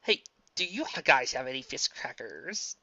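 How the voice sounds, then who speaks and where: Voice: mid-range voice, very animated, a little slow, pushed and bright, no room sound, heavily acted. An amateur reader voicing a whiny, hyper young man who screeches, babbles and breaks into song. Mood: greedy. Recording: dull lo-fi dry recording.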